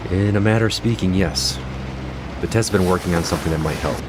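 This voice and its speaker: An actor playing a calm, weary male doctor on a prison island, in an audio drama. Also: sits low, heavy background noise, lightly acted. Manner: measured, weary. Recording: music under the voice.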